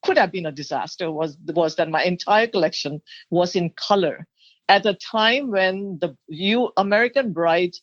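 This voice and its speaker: Woman, middle-aged or older, from Thailand, slightly low voice, expressive storyteller, dry lo-fi recording. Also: moderate pace, no room sound. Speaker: guest, bridal designer. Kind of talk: personal storytelling in an interview. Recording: dull, lo-fi sound.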